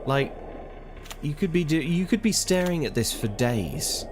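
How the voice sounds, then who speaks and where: A man's voice: fairly high pitch, expressive, measured pace, background noise. A man streaming a mystery game, talking casually to his chat and joking at his own expense. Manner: chatty, talks to his chat, self-mocking. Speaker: let's play streamer. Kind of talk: casual gameplay commentary.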